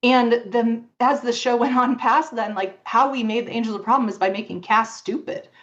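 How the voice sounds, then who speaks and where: Woman in muffled, low-fidelity audio, chatting casually. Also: fairly even intonation, normal speed, fairly bright tone. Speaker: podcast guest, fan fiction author. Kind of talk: casual conversational interview.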